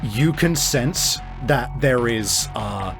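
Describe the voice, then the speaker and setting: A man's voice: medium pitch, lively intonation, slow pace, creaky. Male game master narrating over music. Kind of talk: narrating a tabletop roleplaying game, improvised. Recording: music behind the voice.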